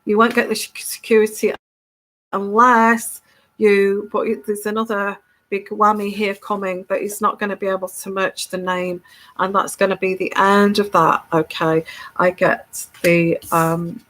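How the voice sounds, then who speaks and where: A woman's voice: mid-range voice, flat delivery, unhurried, fairly bright tone. Congested woman chatting to camera. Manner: chatty, keeps tagging "okay?", many restarts. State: congested from a cold. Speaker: tarot reader hosting her channel. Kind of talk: casual solo video monologue.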